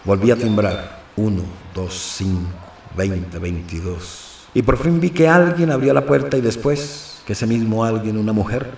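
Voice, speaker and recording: A man's voice: on the low side, animated, unhurried, creaky, darkish tone. A man with a Colombian accent narrating an audio novel in the first person as a brooding, anxious character. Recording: roomy, faint noise, distant mic.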